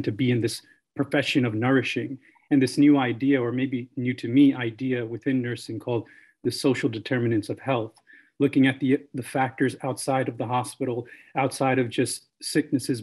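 A young man talks earnestly as a new nurse in an online discussion. His voice is husky and sits at a medium pitch. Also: a little mellow. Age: young adult. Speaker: newly qualified nurse. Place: room at home. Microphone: computer mic on a video call.

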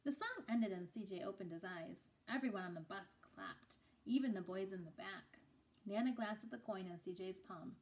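A woman in her thirties reads a children's picture book aloud. Her voice sits at a middle pitch, and she tells the story in a read-aloud manner in a small room. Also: normal speed, a little mellow.

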